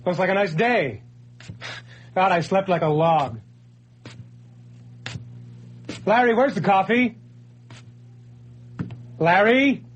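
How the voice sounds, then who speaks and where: A man's voice: high voice, animated, slow, long pauses, muffled recording, slight background noise. An actor playing Jim, a nervous, jumpy man recovering from a breakdown, in an old radio drama. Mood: calling out. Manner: jumpy, anxious, pleading.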